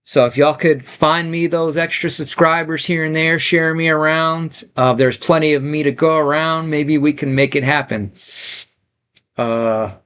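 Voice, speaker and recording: A man's voice: a little high, steady tone, a little slow, fairly bright tone, clear, resonant tone. A man casually chatting on a livestream, reading viewer comments aloud and rambling with lots of fillers and restarts. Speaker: streamer reading viewer chat.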